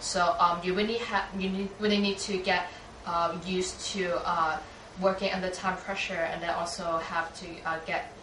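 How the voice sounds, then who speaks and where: A woman's voice: lowish voice, fairly even intonation, normal speed, a bit bright. Young female student from Hong Kong, thoughtful and filler-heavy; roomy, noisy recording. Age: young adult. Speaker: student panelist. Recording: roomy, distant mic with background noise.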